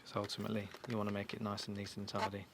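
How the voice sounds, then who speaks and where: A man's voice: fairly low pitch, completely flat delivery, rapid-fire, very noisy. A man giving an informal, practical step-by-step demonstration in a reassuring, instructional way. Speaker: motorcycle shop staff giving a fitting tutorial.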